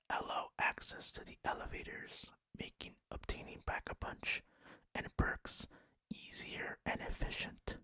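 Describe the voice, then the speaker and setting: Gaming ASMR host: fully whispered narration, bright and close, with a dry sound. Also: dry recording. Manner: unvoiced whispering throughout.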